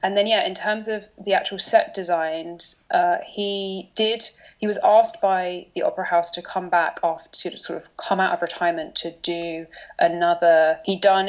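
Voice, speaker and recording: Guest woman, 30s, from London, low gravelly voice, chatty with lots of fillers, muffled lo-fi sound. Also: steady tone, normal speed. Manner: chatty, many fillers and restarts. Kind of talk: casual interview chat.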